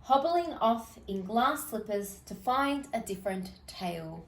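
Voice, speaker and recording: Slow-paced woman reading a children's story. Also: on the high side, expressive, echoey room, recorded from a distance, background noise. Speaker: storybook reader. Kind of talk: expressive children's story read-aloud.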